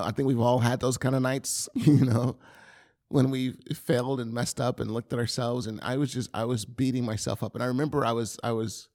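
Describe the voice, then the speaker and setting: A man giving an earnest, confessional sermon testimony, often halting and repeating words as he speaks. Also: mid-range voice, creaky, darkish tone.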